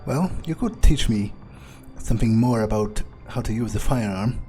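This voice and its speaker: A man role-playing a jaded, domineering, foul-mouthed male vampire in a video game, thinking aloud in a contemptuous, deliberate way. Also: on the low side, mellow, close to an everyday voice. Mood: weary, dry. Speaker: gamer role-playing in character. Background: faint game music.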